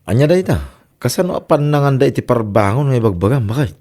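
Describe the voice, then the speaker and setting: A man's voice: medium pitch, lively intonation, quick pace, mellow, natural voice. A man playing a concerned, caring older husband in a radio comedy-drama. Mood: curious.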